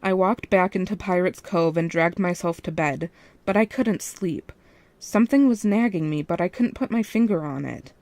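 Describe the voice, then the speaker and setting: A woman's voice: on the low side, normal speed, darkish tone, no echo. A woman reading a first-person fan fiction story as its narrator.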